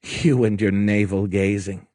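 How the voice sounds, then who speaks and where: A man's voice: lowish voice, steady tone, unhurried, dark tone, no room sound, clean studio sound, lightly acted. A man voicing a young woman of the war years, caring, wry and bold, with an emphatic manner, in an audiobook.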